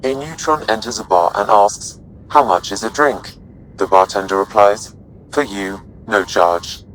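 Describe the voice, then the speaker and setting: An actor voicing a calm, courteous male artificial intelligence in a video game, speaking in measured, formal, precise phrases. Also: fairly low pitch, slight background noise, poor audio. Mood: even, deadpan.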